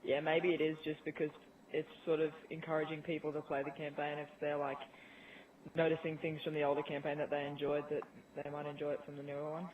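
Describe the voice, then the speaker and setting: A man's voice: a little high, monotone, a little fast. A man chatting casually as a guest in a gaming discussion. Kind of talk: casual chat. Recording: tinny phone-like voice chat, faint noise.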